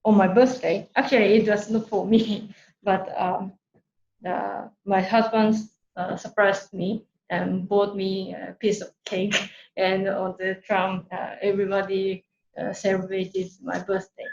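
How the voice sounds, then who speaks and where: Woman, Japanese accent, native Japanese speaker, hesitant online presenter, creaky voice, noisy roomy recording. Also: medium pitch, little pitch movement, a little slow, darkish tone, dull audio. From Tokyo, Japan. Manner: hesitant, searching for words in a second language. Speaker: workshop speaker. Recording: roomy echo, clear background noise.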